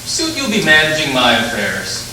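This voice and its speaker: A man's voice: a little high, wildly swooping pitch, moderate pace. An actor playing a domineering, scheming rich old businessman in 1940s radio style, wheedling and overbearing. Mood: smooth persuasion. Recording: roomy stage, distant mic, faint noise.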